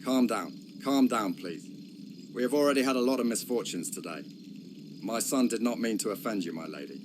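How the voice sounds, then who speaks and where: Low-voiced courteous old man played by an actor. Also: lively intonation, moderate pace, background noise, natural voice. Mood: placating. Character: courteous, placating old human father. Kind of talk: scripted video game cutscene acting.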